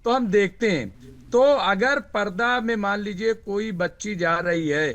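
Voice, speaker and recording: A man argues as a panelist in a heated TV debate. His voice is very high and forceful, and he repeats phrases to hold the floor. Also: a little slow.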